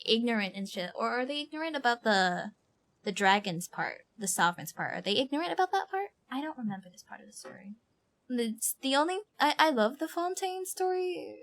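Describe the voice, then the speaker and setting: High-voiced woman streaming games casually. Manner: rambling, slangy, informal. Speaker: game streamer. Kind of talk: casual livestream chat and game commentary. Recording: faint background noise and low rumble.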